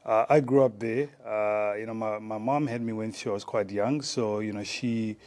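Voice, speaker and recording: A man's voice: on the low side, fairly even intonation, moderate pace, a little mellow. A man in his forties from Zimbabwe, a former rugby player, talking casually as an interview guest with many fillers and restarts.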